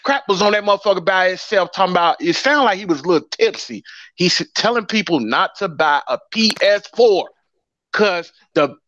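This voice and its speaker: A man co-hosting a podcast in casual gaming chat, excitable and slangy as he tells a story. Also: high-pitched, dry recording. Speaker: podcast co-host. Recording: dry, dull lo-fi sound.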